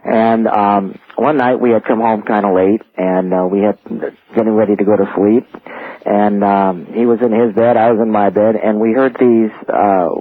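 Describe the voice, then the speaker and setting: A man with a fairly low voice tells a casual ghost story as a radio caller. He comes through a narrow, tinny phone line with a dry sound. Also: steady tone, normal speed, dry recording. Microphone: telephone.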